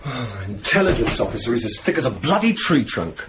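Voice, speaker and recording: An actor playing a blunt, cynical young RAF officer with a dry, decisive manner in a radio drama. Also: male voice, medium pitch, big pitch swings, a little fast, natural voice. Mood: exasperated. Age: young adult. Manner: dry, sardonic, sweary, decisive. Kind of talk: radio drama acting, dialogue and narration. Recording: muffled, noisy old radio broadcast recording.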